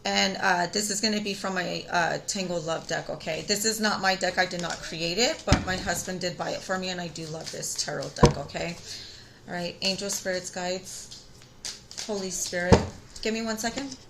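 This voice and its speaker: A woman in her thirties with a raspy, tired voice, chatting casually to viewers as she reads tarot cards. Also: on the low side, normal speed, heavy background noise. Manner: chatty, talks straight to viewers. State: tired voice from singing. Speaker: tarot reader. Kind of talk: casual spiritual reading.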